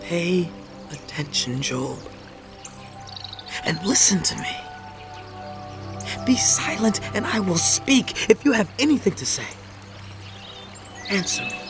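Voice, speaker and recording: An earnest, righteous orator from a biblical audio drama. His voice sits somewhat high for a male speaker. He declaims emphatically with rhetorical pauses, and music plays clearly underneath. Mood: commanding. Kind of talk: dramatized audio Bible acting.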